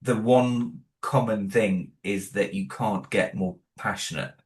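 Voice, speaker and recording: Low-voiced, hesitant man. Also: takes it slowly. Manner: hesitant, many restarts and self-corrections; modest, self-deprecating. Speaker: audio drama creator, interview guest. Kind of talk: casual interview conversation.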